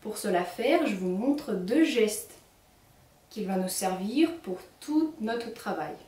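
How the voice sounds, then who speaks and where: A young woman with a Ukrainian accent leading a children's singing workshop, speaking slowly and clearly as she gives instructions. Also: mid-range voice, lively intonation, a little mellow. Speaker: singing teacher. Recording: echoey room, mic at a distance.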